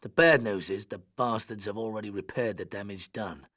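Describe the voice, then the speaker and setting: A brisk commando officer. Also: male voice, on the low side, fairly bright tone, dry recording, studio quality. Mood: briefing. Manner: brisk, matter-of-fact briefing. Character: brisk British commando officer briefing troops. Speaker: commando officer giving a briefing. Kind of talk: game cutscene voice acting.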